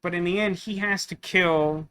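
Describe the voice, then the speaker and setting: A man's voice: high voice, fairly even intonation, normal speed, pushed and bright, smooth. A man doing casual let's play commentary, musing and thinking aloud as he works through puzzles. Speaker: gaming video host.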